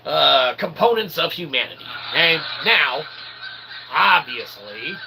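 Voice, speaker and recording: A man's voice: a little high, very animated, slow, vocal fry, background noise. A man hosting a comedy show in a rambling monologue, with wordy mock-formal phrasing and theatrical showman delivery. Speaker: host of a comedy philosophy show.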